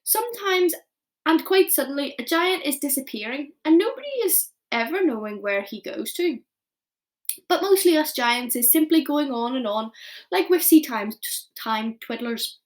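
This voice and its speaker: A big, kind, very old giant booms out his words slowly and with heavy emphasis, mangling his grammar as he goes, as a woman reads him aloud. The voice sits fairly high and swoops up and down. It is a lively storybook telling. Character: old male giant, kind, muddled speech.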